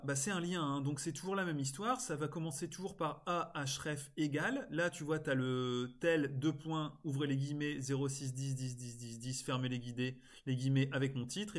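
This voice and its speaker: A man presenting an informal screen-recorded software tutorial, explaining step by step in a casual way with frequent little interjections. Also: mid-range voice. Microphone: screen-recording voice-over.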